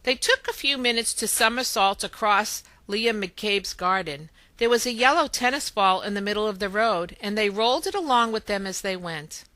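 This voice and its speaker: A woman reading a children's story aloud in expressive narration. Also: medium pitch, normal speed, few pauses, no echo. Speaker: storybook reader.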